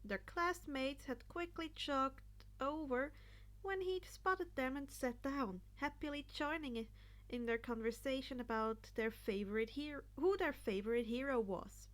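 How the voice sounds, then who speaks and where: High-pitched woman reading a story. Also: unhurried, a bit bright. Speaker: fan fiction narrator. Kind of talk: story reading with character voices.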